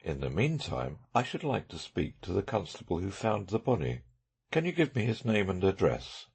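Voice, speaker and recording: Casting: male narrator as self-assured amateur sleuth, low husky register, dry sarcasm, swooping intonation, classic detective novel. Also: big pitch swings, normal speed, darkish tone, heavily acted.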